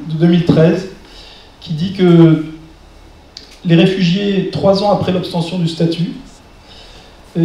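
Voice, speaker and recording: Higher-voiced male moderator in echoey room. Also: warm tone. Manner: hesitant, searching for words. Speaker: press conference moderator. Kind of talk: moderating, introducing and questioning a speaker. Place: indoor press conference room. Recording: very echoey room, distant mic.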